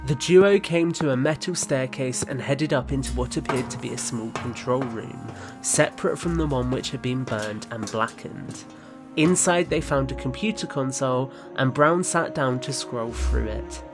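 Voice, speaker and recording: A man's voice: mid-range voice, moderate pace. Male narrator over prominent music. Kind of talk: scripted story narration for audio drama.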